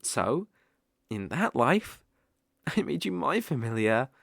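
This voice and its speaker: A man's voice: medium pitch, sing-song, creaky, fairly bright tone, no echo, studio quality, exaggerated voice. A British man playing a sly, possessive, lovestruck fox-spirit mage in an ASMR audio roleplay, coaxing and easily flustered. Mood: possessive, smug. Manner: coaxing, slow, with eager flustered outbursts.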